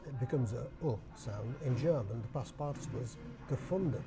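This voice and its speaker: A man's voice: mid-range voice, fairly quick, music in the background. An older man from Bradford with a Yorkshire accent, chatting and explaining in an interview. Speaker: dialect society chairman, guest. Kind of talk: casual interview.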